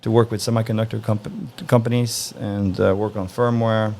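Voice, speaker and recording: A man from Norway answering interview questions in a relaxed, thoughtful way, pausing often to find his words. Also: on the low side, slight background noise. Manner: relaxed, thoughtful, frequent "uh" pauses. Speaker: interview guest.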